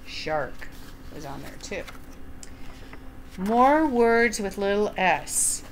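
An older woman reading a children's picture book aloud at home, enunciating deliberately and putting questions to the young listener. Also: medium pitch, big pitch swings, noisy background. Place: small room at home, indoors.

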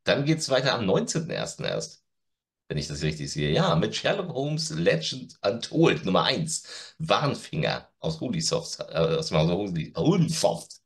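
A man's voice: sits low, huge pitch swings, moderate pace, gravelly. A man in his forties co-hosting a casual chat about new releases, opinionated and blunt, often interrupting himself. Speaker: co-host of an audio drama news show. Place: living room at home, on a video call.

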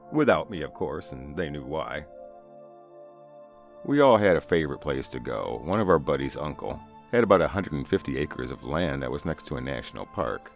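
A man's voice: very deep voice, vocal fry. A middle-aged man narrating a first-person spooky story in a conversational, folksy way. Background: music.

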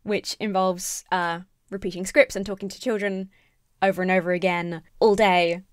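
Slightly hoarse young woman, dry sarcastic commentary. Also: mid-range voice, moderate pace, dry recording. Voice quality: croaky. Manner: sarcastic, animated, laughing asides. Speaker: commentary YouTuber. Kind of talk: casual commentary with sponsor read. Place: small home room, seated in gaming chair. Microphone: studio mic on stand near face.

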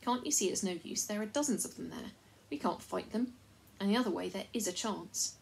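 A woman's voice: medium pitch, brisk, creaky, slight background noise, lightly acted. A woman voicing a brave, sensible young girl who talks earnestly and plainly in an audiobook.

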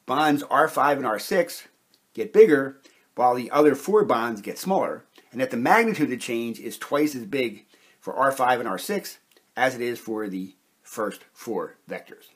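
A man giving a methodical, step-by-step instructional lecture, pausing between short phrases in a deliberate way. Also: mid-range voice, animated, slightly rough. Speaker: chemistry lecturer, presenter. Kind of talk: step-by-step instructional explanation at a whiteboard.

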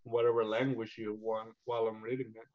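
A man playing a tabletop game over a call, talking casually and thinking out loud with hesitations. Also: on the low side, flat delivery, brisk. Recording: muffled online call audio.

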